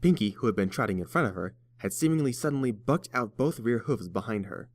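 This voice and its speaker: A man's voice: mid-range voice, expressive, fairly quick, no room sound. A man reading scripted story narration as the narrator.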